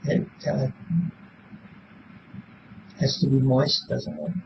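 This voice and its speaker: Through a dull, clearly noisy recording, a man in his seventies answers interview questions. His voice sits at a middling pitch, and he rambles haltingly, repeating words and leaving thoughts unfinished. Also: completely flat delivery. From born in Belfast, Northern Ireland; raised in Illinois from age seven. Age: 70s. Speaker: interviewee in a police polygraph interview. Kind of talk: casual, rambling spoken answers. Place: small police interview room.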